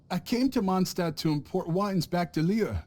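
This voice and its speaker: A man's voice: high-pitched, big pitch swings, takes it slowly, mellow, slight background noise, exaggerated voice. A man voicing a nervous, evasive male merchant in a video game, stammering and covering his slips. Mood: flustered. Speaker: traveling merchant. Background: game music.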